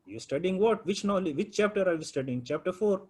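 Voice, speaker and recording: A man's voice: sits high, lively intonation, fairly quick, a little mellow, husky. A man whose first language is Urdu, teaching a project management class and prodding students with questions.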